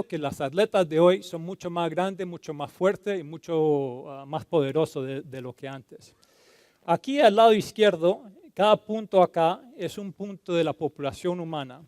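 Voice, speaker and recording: A man from Colombia giving a conference talk, halting and repeating words, slipping into terms now and then. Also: fairly high pitch, darkish tone, no room sound. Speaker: conference speaker. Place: conference hall stage.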